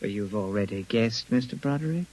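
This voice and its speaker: An actor playing a Martian invader who poses as human, speaking in a formal, theatrical 1950s radio drama manner. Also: male voice, lowish voice, lively intonation, normal speed, a little mellow, rough recording. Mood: gloating menace. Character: smug, menacing male Martian invader posing as human.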